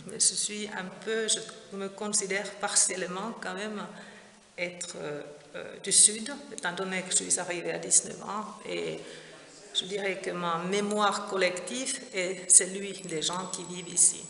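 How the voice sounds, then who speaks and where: A woman's voice: medium pitch, fairly even intonation, unhurried, creaky, fairly bright tone. Woman, hesitant, echoey gallery. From Finland. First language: Finnish. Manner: hesitant, many fillers and restarts. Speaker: visual artist. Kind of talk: interview at her exhibition. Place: gallery space with sound installation playing. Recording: roomy echo, music in background.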